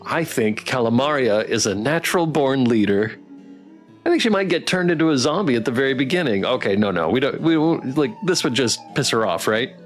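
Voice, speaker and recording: A man's voice: medium pitch, lively intonation, fairly quick. A man streaming a game, chatting casually as he reads game text and viewer comments aloud. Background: game music.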